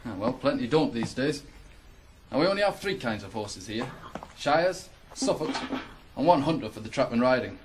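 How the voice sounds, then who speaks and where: A man's voice: mid-range voice, animated, moderate pace, close to an everyday voice. An actor in a 1980s radio drama playing a bossy, ambitious ploughman who instructs a young lad in a brusque, teasing way. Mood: proud, instructive. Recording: noisy 1980s radio broadcast recording.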